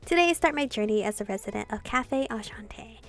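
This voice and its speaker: Woman, high voice, expressive game streamer, casual sweary asides, reading text aloud, music behind. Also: brisk. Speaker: visual novel streamer. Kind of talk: gameplay commentary and reading dialogue aloud.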